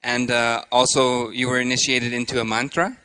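A man in his thirties hosting a live interview on a handheld microphone, speaking haltingly with frequent pauses. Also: medium pitch, little pitch movement, sharp tone, clean tone, low-quality recording. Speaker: host. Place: indoor stage with curtain.

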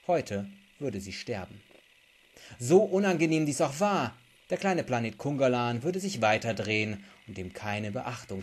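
A man's voice: medium pitch, darkish tone. Young man, author vlogging. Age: young adult. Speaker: author and video blogger. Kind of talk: book reading and casual vlog talk. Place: small indoor room.

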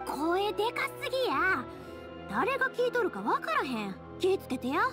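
Game casting: spirited young Kansai woman, high voice, earnest and reassuring, over music. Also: wildly swooping pitch, normal speed, full character voice. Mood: urgent hushing. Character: spirited, caring young woman who makes fireworks. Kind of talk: video game voice acting. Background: background music.

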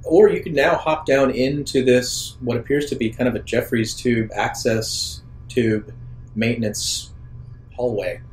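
A man acting as game master, improvising narration for a tabletop roleplaying game in halting, thoughtful phrases. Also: mid-range voice, steady tone, soft background music, poor audio.

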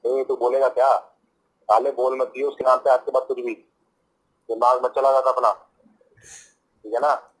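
Heard through a phone speaker, a young North Indian man argues heatedly. His medium-pitched voice stays flat in intonation while he scolds in a confrontational way. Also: moderate pace, long pauses. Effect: phone call on speaker. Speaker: prank call recipient.

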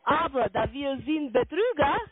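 A woman with a foreign accent in German on a sales call, halting and insistent with her questions. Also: sits high, expressive. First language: not German. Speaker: call center sales agent. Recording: narrow-band phone line.

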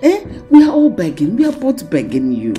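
An actress with a Nigerian accent playing an imploring in-law in a film drama, interjecting with pleas. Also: female voice, medium pitch, wildly swooping pitch, normal speed, dark tone, soft background music. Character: imploring in-law begging forgiveness for a young woman.

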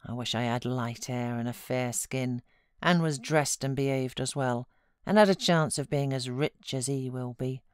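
A woman's voice: very deep voice, moderate pace, smooth, no echo, clean studio sound. A British woman voicing a sulky, proud, self-pitying boy in a storybook reading. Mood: sullen, dejected.

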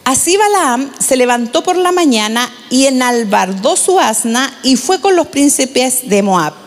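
High-voiced woman pastor preaching. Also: animated. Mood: reading aloud. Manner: measured, slows down to read scripture aloud. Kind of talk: sermon with scripture reading. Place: large church stage with pink backdrop. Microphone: handheld microphone. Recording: long hall reverb.

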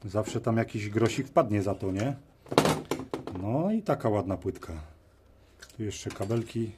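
A man's voice: on the low side, animated, a little slow. A man giving informal running commentary as he works, muttering to himself in short phrases. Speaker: video host. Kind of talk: casual running commentary while working. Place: cluttered workshop bench, indoors. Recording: clear background noise with clicks. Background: noise and clicks of tools and parts.

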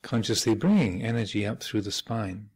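A man speaking as a meditation teacher, giving measured guided instruction with thoughtful pauses and gentle phrasing. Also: on the low side, lively intonation, slightly rough. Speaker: Buddhist monk and meditation teacher leading a retreat. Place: meditation hall at a retreat center.

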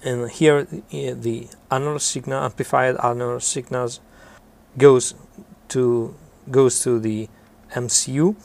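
A middle-aged man explaining a homemade electronic device in halting, deliberate technical phrases. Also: mid-range voice, steady tone, gravelly, a little mellow. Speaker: maker presenting an electronics project.

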